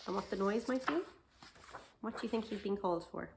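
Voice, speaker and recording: A woman reading a picture book aloud to children, expressively, with questioning asides and drawn-out emphasis. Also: mid-range voice, gravelly, a little hiss or hum. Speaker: library storytime reader.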